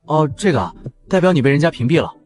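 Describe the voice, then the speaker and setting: A man's voice: fairly high pitch, big pitch swings, fast talker. A man acting a brash, teasing young man in an audio drama. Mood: matter-of-fact.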